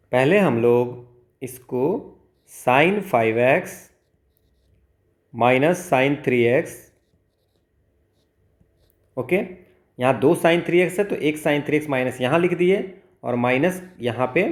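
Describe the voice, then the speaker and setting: Male maths tutor, Indian accent, mid-pitched, methodical question-and-answer explaining, noticeably roomy echo. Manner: methodical, asks himself questions and answers them. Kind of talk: step-by-step exam problem explanation, Hindi-English mix. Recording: roomy, echoing voice recording.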